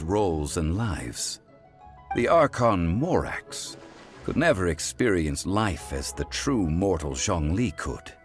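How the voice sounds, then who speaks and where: An ancient god walking the earth as a dignified mortal gentleman. His deep voice is measured and courtly, with lively rises and falls. It is video game dialogue, with soft music behind it. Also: exaggerated voice. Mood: reflective. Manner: measured, formal, courtly and reflective. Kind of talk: scripted game cutscene voice acting.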